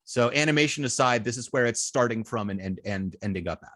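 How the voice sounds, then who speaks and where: A man teaching informally as an instructor, thinking aloud with halting, repeated and restarted phrases. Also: medium pitch, a bit bright, dry recording, studio quality. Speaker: web design instructor.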